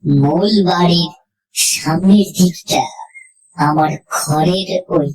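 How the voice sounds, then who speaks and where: A woman narrating a scripted horror story in the first person, suspenseful and dramatic, with deliberate pauses. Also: lowish voice, darkish tone, slight background noise, rough recording. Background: music.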